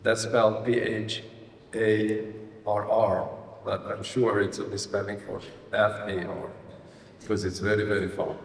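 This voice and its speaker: Priest in an echoing hall. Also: male voice, on the low side, level tone, a little hiss or hum. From Romania. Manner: self-deprecating, wry, halting with fillers. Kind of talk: informal retreat lecture. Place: large hall. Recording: roomy echoing hall, voice from a distance.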